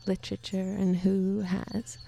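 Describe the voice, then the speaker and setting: A woman from Sweden with a Swedish accent, chatting casually as a studio guest and then reading her poetry slowly and deliberately. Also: mid-range voice, monotone, creaky, mellow. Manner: laughs easily, reads poetry slowly and deliberately. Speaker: guest poet. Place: radio studio.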